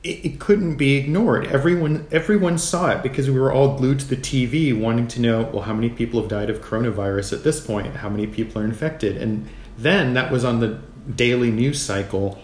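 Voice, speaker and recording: An American man speaking as an interview guest in a measured, thoughtful way, pausing between short phrases. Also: medium pitch, darkish tone. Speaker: podcast guest, physician. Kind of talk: reflective interview talk. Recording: roomy echo, clear background noise.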